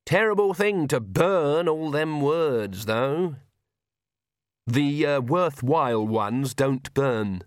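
A man's voice: on the high side, lively intonation, slow pace, no room sound, clean studio sound, voice pushed up. A man voicing a fierce, stubborn old country witch woman, blunt and folksy with an emphatic, scolding manner, in an audiobook. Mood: musing.

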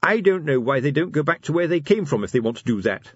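A man performs a cheeky, scheming watchman from a fantasy storybook. He rambles eagerly in slangy speech, pitched up and lively, with a slightly rough edge. The sound is dry and a little dull. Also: fast, dry recording. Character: Nobby, scheming cheeky low-ranking city watchman.

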